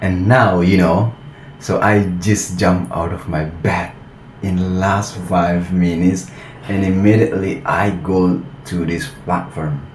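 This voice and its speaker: An Indonesian man with an Indonesian accent chats casually for his language-learning vlog in halting learner English. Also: low voice. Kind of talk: casual vlog and video-call chat. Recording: some steady background noise.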